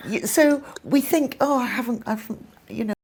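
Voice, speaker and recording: A woman's voice: on the high side, brisk, a little mellow, breathy edge. A woman co-hosting a live stream, chatty and upbeat as she passes on viewer comments. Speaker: co-host. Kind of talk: live-stream chat with guests.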